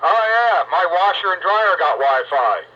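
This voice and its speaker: Bright, forceful man over lo-fi radio. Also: high-pitched, big pitch swings, a little slow. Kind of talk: casual chat over radio. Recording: dull band-limited radio link, faint noise.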